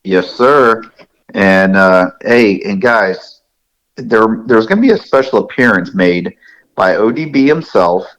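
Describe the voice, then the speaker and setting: A man with a fairly low voice co-hosts a podcast. He talks casually, with a laid-back and agreeable manner. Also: lively intonation, slow, fairly bright tone, low-quality recording. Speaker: podcast co-host. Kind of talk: casual chat.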